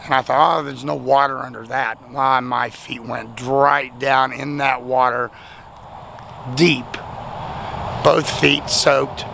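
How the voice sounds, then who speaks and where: A man's voice: medium pitch, fairly even intonation, slow pace, creaky, sharp tone, rough recording. A man, his voice nearly gone from talking all weekend, chatting in a rambling, self-deprecating way at a roadside rest stop. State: voice nearly lost, hoarse. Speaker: vlogger. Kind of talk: casual thank-you vlog. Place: outdoors at a highway rest stop, traffic nearby. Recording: outdoor traffic noise.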